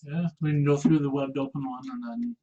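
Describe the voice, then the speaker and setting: A man's voice: on the high side, expressive, a little fast, warm tone. A man chatting casually as a player in a tabletop role-playing game. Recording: dull, noisy recording.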